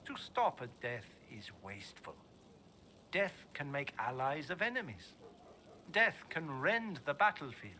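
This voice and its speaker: Formal creepy necromancer teacher, game dialogue, highish voice, slight noise behind. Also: male voice, big pitch swings, bright, forceful tone, heavily acted. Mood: persuasive, menacing. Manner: formal, grandiose, deliberate. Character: solemn, theatrical male necromancer teacher. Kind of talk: video game character dialogue.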